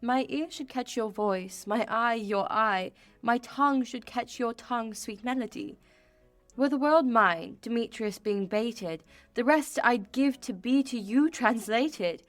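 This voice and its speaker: A woman's voice: on the high side, unhurried, soft background music, natural voice. A young woman playing an envious, lovesick, rejected maiden in a radio play of Shakespeare, with plaintive verse delivery. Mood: pining, envious. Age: young adult.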